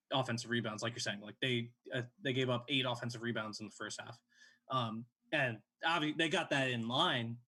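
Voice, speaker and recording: A man's voice: medium pitch, bright, forceful tone. A man in his late twenties hosting a sports show, giving casual game analysis in a conversational way.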